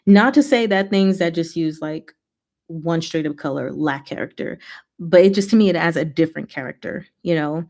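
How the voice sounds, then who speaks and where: A woman hosting a casual, rambling livestream chat with viewers, talking in an expressive, playful way. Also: low-pitched, moderate pace. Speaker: livestream host answering chat.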